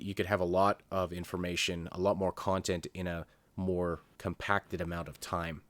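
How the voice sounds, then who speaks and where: Low-voiced man chatting through a TV review. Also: a bit bright, dry recording. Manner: hesitant, rambling, thinking aloud. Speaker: co-host of a review show. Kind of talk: casual unscripted TV review chat.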